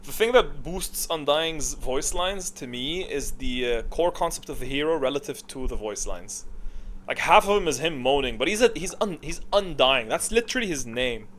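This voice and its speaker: A man's voice: fairly high pitch, expressive, normal speed, fairly bright tone, a little hiss or hum. A man giving casual, off-the-cuff gaming commentary, thinking aloud in a rambling, blunt way.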